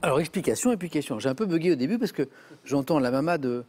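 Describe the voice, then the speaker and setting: A man's voice: on the high side, quick pace, soft background music. A man hosting a TV quiz show, reading questions and joking with contestants in a studio. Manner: lively, jokey, reads questions then banters with contestants.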